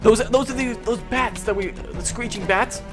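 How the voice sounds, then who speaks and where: A young man with a very high voice plays through a game in character, improvising as he goes. He barks urgent orders and callouts to his teammates in an excited gamer style. Loud music plays behind him. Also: moderate pace. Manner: urgent, shouts commands to teammates. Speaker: gaming video host playing a roleplay game. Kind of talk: excited improvised gameplay roleplay commentary.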